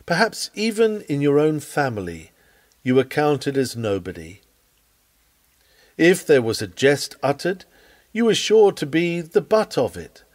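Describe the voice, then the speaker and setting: A man reading a scripted sermon aloud in an earnest, measured, expressive preaching manner. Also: medium pitch, no room sound. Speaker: reader of a historical sermon.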